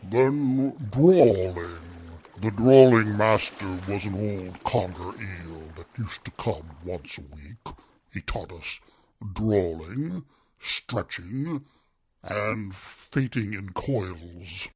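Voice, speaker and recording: A man playing a sorrowful, self-pitying male sea creature who speaks slowly and tearfully. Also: fairly low pitch, darkish tone, breathy edge, heavily acted. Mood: mournful. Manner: slow, drawn-out, tearful delivery.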